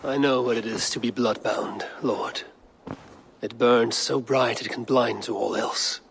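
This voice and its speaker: An actor playing a calm, loyal Norse warrior in a video game, speaking in a resolute, respectful way. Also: male voice, mid-range voice, measured pace, creaky, slight background noise.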